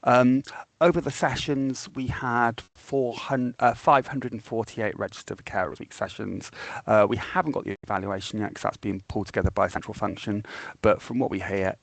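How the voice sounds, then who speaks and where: A man's voice: lowish voice, fairly even intonation, moderate pace, vocal fry, no echo. A man hosting an online meeting, giving an update with many hesitant fillers. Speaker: meeting host.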